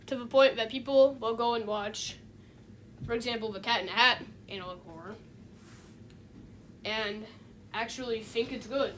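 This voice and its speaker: High-voiced woman rambling through casual commentary, noisy recording. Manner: halting, rambling, repeats and restarts phrases. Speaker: video essayist. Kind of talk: casual unscripted video essay commentary.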